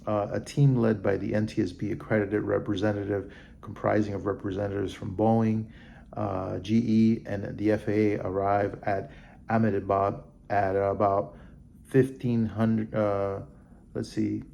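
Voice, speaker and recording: A man hosting a vlog, reading a report aloud haltingly and adding off-the-cuff comments. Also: fairly low pitch, flat delivery, creaky, warm tone, a little hiss or hum. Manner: halting, stumbling over names and terms. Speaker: vlog host.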